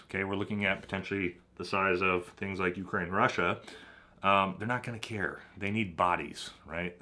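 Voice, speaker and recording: A man's voice: fairly low pitch, bright, forceful tone. A man giving a blunt, emphatic monologue to viewers, often checking in with "okay?" and "right?". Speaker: commentator on military draft.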